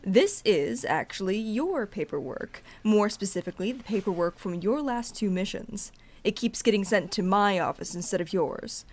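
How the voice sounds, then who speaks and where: A woman's voice: medium pitch, natural voice. A woman playing a snide office rival in an audio drama, teasing with dry sarcasm. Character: snide, smug desk-bound rival colleague.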